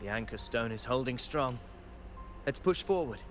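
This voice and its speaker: Male actor as loyal knight, earnest, formal measured diction, mid pitch, video game acting, music underneath. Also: lively intonation, natural voice. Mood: alert.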